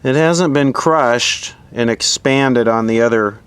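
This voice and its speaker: A man giving casual hands-on repair commentary, thinking aloud in an offhand, low-key way as an electronics hobbyist. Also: takes it slowly, a bit bright, smooth, dry recording. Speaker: electronics repair hobbyist presenting his own video.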